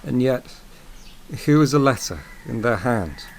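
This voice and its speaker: A man narrating a scripted audio drama as a solemn, gentle storyteller, measured, with dramatic pauses. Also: mid-range voice, animated, vocal fry, a little hiss or hum, poor audio. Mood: solemn, wondering. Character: solemn, gentle male storyteller of a grief tale.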